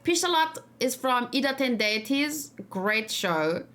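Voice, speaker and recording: Female livestream host, fairly high voice, casual and informal, musing through game discussion off the cuff. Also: animated, unhurried, fairly bright tone. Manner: informal, musing, thinking aloud. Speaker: streamer and reaction host.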